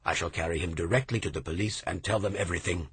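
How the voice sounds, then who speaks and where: A man voicing an impulsive, forceful poet who speaks urgently and bluntly in a storybook reading. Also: low voice, fast talker, breathy edge, dry recording, full character voice.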